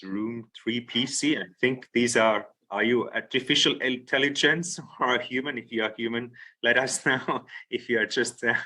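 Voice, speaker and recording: A man hosting a video call breakout session, relaxed and full of fillers. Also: mid-range voice. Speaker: session host. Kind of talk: casual video call moderation.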